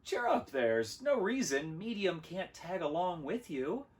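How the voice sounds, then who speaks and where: A man voicing a small, sharp woman companion in a storybook, with a brisk, mocking manner. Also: medium pitch, wildly swooping pitch. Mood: teasing.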